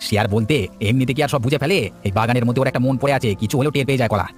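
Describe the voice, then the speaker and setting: A man's voice: mid-range voice, very fast, soft background music, lightly acted. A man voicing a brash, resentful village husband out for revenge in a cartoon folk tale. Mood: brash, scheming. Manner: indignant, boastful.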